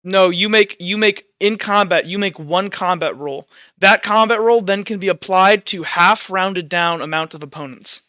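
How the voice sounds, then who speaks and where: A man running a tabletop game over online voice chat as game master, narrating combat and explaining rules in a halting way, often restarting his phrases. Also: high-pitched, bright, forceful tone, clear, resonant tone, no room sound, lo-fi sound. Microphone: voice call over Discord.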